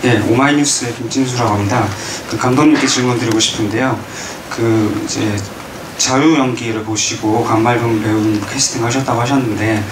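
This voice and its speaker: A man, a reporter, asking a question at a press conference in a polite, hesitant way. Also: medium pitch. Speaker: news reporter. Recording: echoey hall, distant mic, noisy room.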